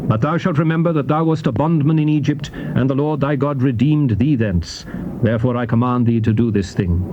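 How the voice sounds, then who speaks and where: A man giving a solemn, declamatory dramatized reading of King James scripture in measured phrases. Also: mid-range voice. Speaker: scripture reader. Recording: dull, noisy old cassette tape transfer.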